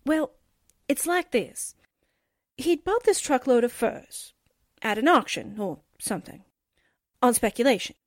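An actress playing a breezy, playful young gal with slangy talk in 1940s-style radio drama. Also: female voice, high-pitched, sing-song, unhurried, long pauses, dry recording, clean studio sound, full character voice. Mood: proud, teasing. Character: breezy, man-hungry young gal, reporter's chummy sidekick.

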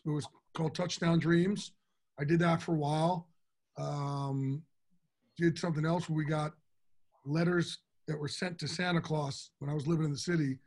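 A middle-aged man with a big, enthusiastic voice, pitched a bit higher than most men. He answers interview questions in a candid, from-the-heart way. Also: a little mellow. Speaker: interview guest. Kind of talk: casual interview talk.

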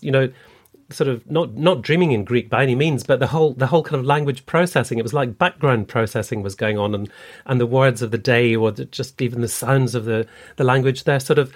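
A man hosting an interview, thinking aloud and turning his points into questions such as "doesn't it?". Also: mid-range voice. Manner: musing, builds ideas aloud with tag questions. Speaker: podcast interviewer. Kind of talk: conversational interview.

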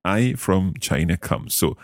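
A man with a low voice that moves up and down a lot hosts a language lesson. He breaks phrases into pieces and explains them step by step. The recording is dry and close. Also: very animated, dry recording. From Scotland. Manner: friendly, explains step by step, slow careful repetition of words. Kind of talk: podcast language lesson, learner repeating phrases.

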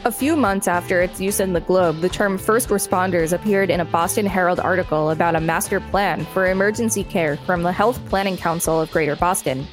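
A woman's voice: lowish voice, fairly quick, few pauses, faint music behind. A woman hosting a podcast, reading encyclopedia articles aloud with brief commentary. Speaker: podcast host and reader.

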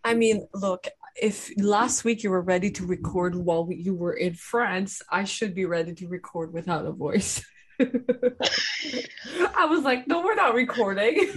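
A young woman co-hosting a podcast in casual chat, hoarse from losing her voice, laughing often as she tells stories. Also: mid-range voice, a little slow. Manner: laughs often, animated storytelling. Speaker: podcast co-host.

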